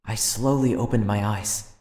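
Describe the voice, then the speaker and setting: A man's voice: on the low side, normal speed, background noise, natural voice. Man as earnest teenage boy, echoey narration. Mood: tense, solemn. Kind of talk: dramatic first-person narration, anime fandub. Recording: roomy echo, loud music behind.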